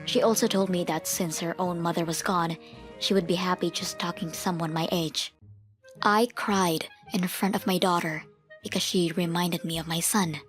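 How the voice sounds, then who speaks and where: A woman playing a firm, principled mother in a manga dub, speaking in a stern, measured, matter-of-fact way. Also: on the low side, fairly even intonation, natural voice. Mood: resolved, reflective. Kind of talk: manga dub dialogue and first-person narration. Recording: music behind the voice.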